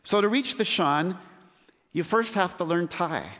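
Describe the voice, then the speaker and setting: A man preaching a sermon as a missionary, earnest, with measured and emphatic pauses. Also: high-pitched, a bit bright. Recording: long hall reverb.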